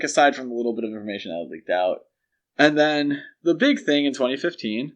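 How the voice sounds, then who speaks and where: A man co-hosting a podcast, talking casually and explaining his measured opinions on pop culture. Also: mid-range voice. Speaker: podcast co-host.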